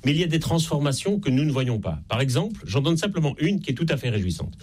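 A man with a Belgian French accent, an author being interviewed on a radio call-in show, explaining in a measured way. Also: on the high side, very animated, darkish tone, breathy edge. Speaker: author guest.

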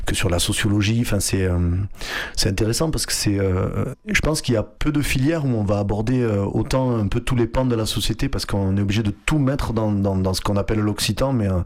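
Low-voiced hesitant man being interviewed. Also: fairly quick. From France (Hérault, Occitanie). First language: Flemish. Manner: hesitant, many fillers and restarts. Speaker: artistic director, guest. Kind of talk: radio interview.

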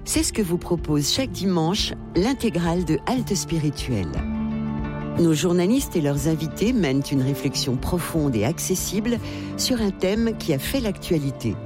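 Female radio promo voice, fairly low, with lively melodic delivery of scripted copy over music. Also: moderate pace. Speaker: radio station announcer.

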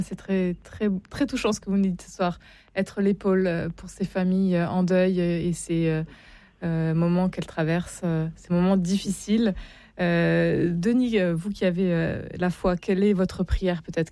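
Mellow-voiced woman hosting a radio phone-in. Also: on the low side, fairly even intonation, normal speed, dry recording. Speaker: radio call-in host. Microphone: studio microphone.

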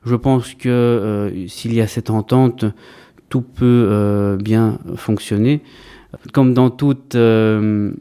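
A man's voice: mid-range voice, dark tone, clear, resonant tone. A man in his thirties, an Orthodox priest from Greece raised in Belgium, answering interview questions hesitantly with frequent fillers and restarts. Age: 30s.

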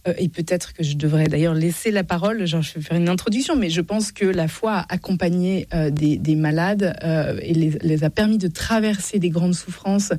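A woman's voice: fairly low pitch, moderate pace, few pauses, mellow, no room sound. A woman talking as a guest, reflecting thoughtfully and earnestly. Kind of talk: conversational reflection.

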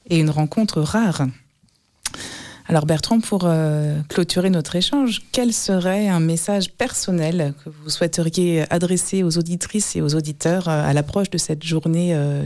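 Low-voiced female radio host, formal and measured. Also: warm tone. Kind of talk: radio presenting.

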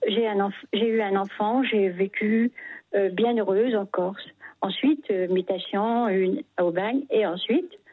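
An older woman from Paris calling in by phone, reminiscing in short, halting phrases. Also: mid-range voice, no echo. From Paris, France. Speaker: phone-in caller. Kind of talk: personal testimony, reminiscing. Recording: muffled telephone line, husky sound.

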